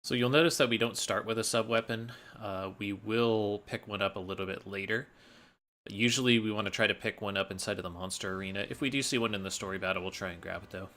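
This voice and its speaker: A man's voice: on the low side, fairly even intonation, quick pace, a bit bright. A man giving casual, explanatory commentary over his own video game run. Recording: game music faintly behind. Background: fighting game music.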